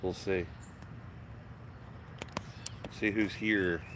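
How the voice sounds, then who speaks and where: Raspy low-voiced man streaming outdoors. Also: fairly even intonation, extremely slow, background noise. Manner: chatty, greets viewers by name. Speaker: live stream host. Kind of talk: casual live-stream chat. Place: backyard garden outdoors. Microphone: small phone-mounted microphone.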